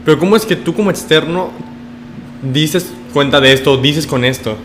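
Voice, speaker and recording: A man's voice: fairly high pitch, moderate pace, a bit bright, a little hiss or hum. A man hosting a casual interview, asking probing follow-up questions. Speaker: host.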